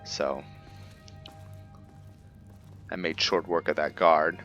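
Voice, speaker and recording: A man giving casual commentary on his roleplay playthrough of a game, measured and pausing mid-sentence. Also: very deep voice, steady tone, fairly bright tone. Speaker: gamer narrating a roleplay playthrough. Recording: slightly dull mic, faint game music.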